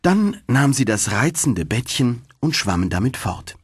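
A man's voice: mid-range voice, big pitch swings, unhurried, husky, no echo, clean studio sound. A man reading a fairy tale as an audiobook narrator, telling the story expressively.

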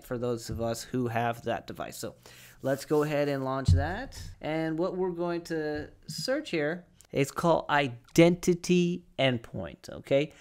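A young man presenting a conversational step-by-step tech tutorial, explaining and often checking in with the listener, recorded on a close desk microphone in a small studio. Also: medium pitch. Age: young adult. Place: small home studio with acoustic foam.

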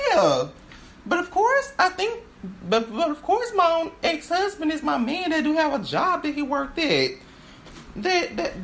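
A woman playing a high-pitched, halting, sassy divorced wife. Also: gravelly, fairly bright tone, slight background noise, exaggerated voice. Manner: stammering, repeats words, sighs and laughs often. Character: sassy, boastful, rambling divorced wife from a stage play.

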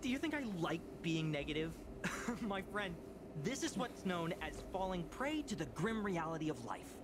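A man's voice: high voice, very animated, normal speed. A man voicing a jaded, whiny young office worker in a video game, complaining with exasperated drama. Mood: bitter, resigned. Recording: game audio with clear background noise.

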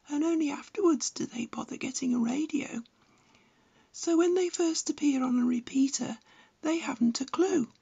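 An older woman in her seventies delivers scripted instructional narration. Her voice sits high and has a noticeable creak. She phrases each point precisely and deliberately, like a teacher. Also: a little mellow, lo-fi sound. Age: 70s. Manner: measured, didactic, precise phrasing. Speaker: amateur radio enthusiast and instructor. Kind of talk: scripted narration giving practical advice.

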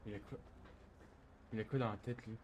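A man's voice: fairly low pitch, fairly even intonation, fairly quick, darkish tone, slight background noise. A man chatting casually over a video game, blunt and provocative in the way he talks. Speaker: gamer, video creator trolling in online roleplay. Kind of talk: casual gameplay commentary and banter.

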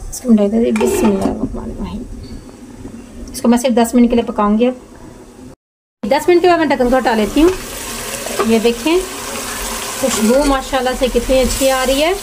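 A woman's voice: on the high side, a little fast, long pauses. A woman gives plain, step-by-step cooking instructions as the host, often repeating herself. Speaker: home cooking show host. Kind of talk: step-by-step recipe narration. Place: home kitchen at the stove. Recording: noisy kitchen with sizzling pan. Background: frying, sizzling, stirring.

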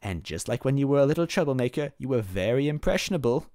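A man doing a fretful old sorceress, hushed. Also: mid-range voice, animated, fast talker, dry recording, studio quality, natural voice. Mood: soothing. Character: fussy anxious old female magic advisor. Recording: faint music underneath.

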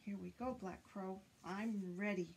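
An older woman reads a picture book aloud to young children, counting in a deliberate singsong and prompting them with questions. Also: medium pitch, steady tone, creaky, darkish tone, a little hiss or hum. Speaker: children's teacher reading aloud. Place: indoors, small room lined with bookshelves.

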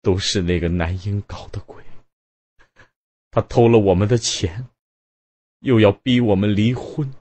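A man's voice: on the low side, a little slow, long pauses, a little mellow, pitched above the performer's own voice. A man voicing a distraught young wife who pleads through tears with her husband in a storybook reading. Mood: blaming. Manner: tearful, pleading.

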